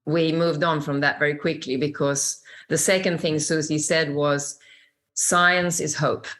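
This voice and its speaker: Low-voiced older Swedish woman, expressive. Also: a little slow. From Sweden. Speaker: interview guest. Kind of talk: reflective conversational storytelling.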